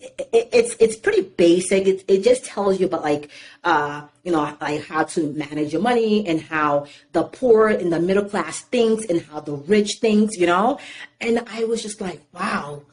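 Chatty Haitian-born woman, low-pitched voice. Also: moderate pace. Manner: animated, repeats words and uses many fillers. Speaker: guest. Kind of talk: casual storytelling interview.

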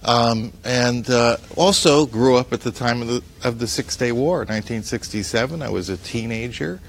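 A man's voice: medium pitch, normal speed, creaky, slight background noise. An American man in his sixties, telling stories conversationally as an interview guest. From New Jersey, USA. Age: 60s. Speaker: talk show guest, news editor.

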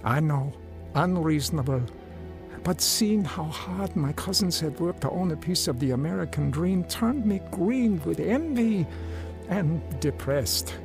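Music plays behind an earnest young immigrant man in a radio drama. His voice is lightly high and moves up and down a lot, with a naive air. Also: animated, vocal fry. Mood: rueful. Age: young adult. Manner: hesitant, halting broken English in dialogue. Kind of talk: radio drama acting and first-person narration.

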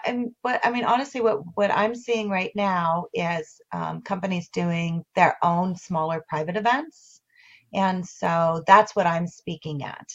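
A woman who coaches on video marketing, talking in a casual interview with animated delivery and lots of restarts. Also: lowish voice. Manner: animated, many restarts and fillers. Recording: dull lo-fi audio.

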